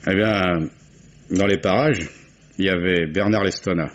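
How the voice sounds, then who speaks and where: An actor playing a gruff, guarded old oyster fisherman in a 1950s radio drama, talking in a folksy, grumbling way. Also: low voice, fairly even intonation, unhurried. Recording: muffled old radio recording with faint hiss.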